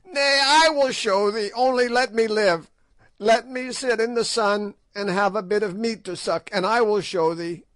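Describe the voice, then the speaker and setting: Wicked ancient witch, voiced by a man: shrill, mocking, dry close sound. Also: measured pace, fairly bright tone, no echo. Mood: pleading. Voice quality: shrill, cackling. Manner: screeching, mocking. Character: ancient shrieking female witch, wicked and gloating. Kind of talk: audiobook character voice.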